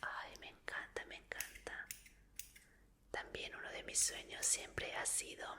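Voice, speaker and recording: An obsessive female fan murmurs to her captive idol, as a woman whispers the part, pleading and apologetic in a slow, unhurried hush. The whisper has a bright, crisp edge. It comes across as a sweetly menacing roleplay.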